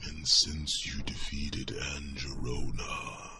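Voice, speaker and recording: A man voicing a gentle, wise fantasy companion in a slow, soothing ASMR roleplay, speaking in a breathy, gravelly half-whisper. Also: rumbling low, steady tone, noisy background. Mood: reassuring. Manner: soothing, measured, with thoughtful hums. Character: gentle, wise companion reassuring a young dragon.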